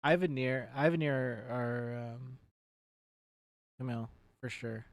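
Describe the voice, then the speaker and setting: A man's voice: medium pitch, takes it slowly, dry recording, clean studio sound. A man giving casual, laid-back let's play commentary as he streams a video game.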